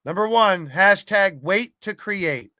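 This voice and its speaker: A man's voice: sits high, expressive, slow pace, pushed and bright, dry recording, studio quality. A man hosting a gaming podcast, talking casually to his community in a blunt, rambling, sweary way. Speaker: podcast host and wrestling game streamer. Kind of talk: casual monologue to an online community.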